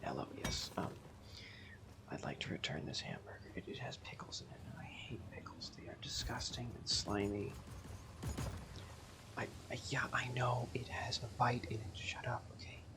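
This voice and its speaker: Man doing a whispered entitled customer, petulant and insulting, breathy and hushed. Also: medium pitch, animated, normal speed, lightly acted. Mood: whiny, petulant. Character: rude entitled male customer returning a burger.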